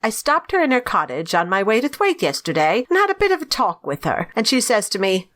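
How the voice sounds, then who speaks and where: A woman acts out a chatty housekeeper for an audio drama. Her delivery swings widely in pitch, full of gossip and blunt homespun sense. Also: big pitch swings, fairly quick, fairly bright tone. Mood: chatty, admiring. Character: gossipy Yorkshire housekeeper, chatty and plain-spoken.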